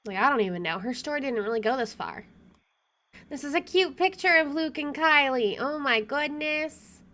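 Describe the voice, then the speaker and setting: A young woman with a fairly high voice hosts a game stream. She chats casually and reads the story text aloud. Her manner is expressive and easily delighted. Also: normal speed. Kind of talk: casual chat and reading visual novel text aloud.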